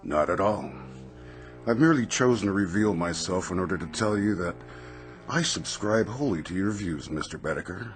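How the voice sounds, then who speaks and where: A deep male voice plays an urbane supernatural tempter in a radio drama. The delivery is richly animated, teasing and sly, with light music underneath. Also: normal speed. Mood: smooth, persuasive. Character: suave, sly, jovial supernatural tempter offering bargains.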